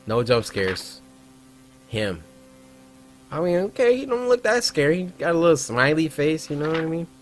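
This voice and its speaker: A young American man giving casual, animated, slangy commentary as he reacts to horror videos. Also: a little high, normal speed, pauses often, slight background noise. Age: young adult. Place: small foam-padded room, indoors.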